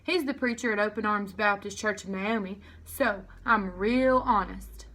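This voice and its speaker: A woman's voice: medium pitch, a little slow, fairly bright tone. A woman voicing an earnest young girl in a storybook, polite, folksy and eager to persuade. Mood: earnest pleading.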